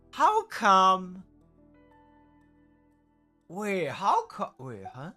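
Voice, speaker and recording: A woman streamer giving casual live game commentary in an informal, halting way with slang. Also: mid-range voice, huge pitch swings, pushed and bright, soft background music.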